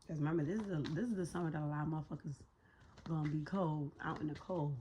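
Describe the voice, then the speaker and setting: Hoarse, low-voiced woman reading tarot. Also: steady tone, fast talker, a little hiss or hum. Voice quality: raspy. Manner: slangy, profane, thinks out loud; talks to spirit while pulling cards. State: hoarse, voice a little shot. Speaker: tarot reader. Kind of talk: casual tarot reading to viewers.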